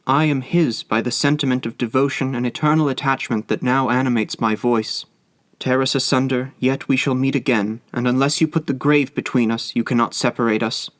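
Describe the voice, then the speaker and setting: A man's voice: mid-range voice, steady tone, a little fast, dry recording, natural voice. Impassioned young mother in a storybook , voiced by a male reader: pleading and defiant, dry close sound.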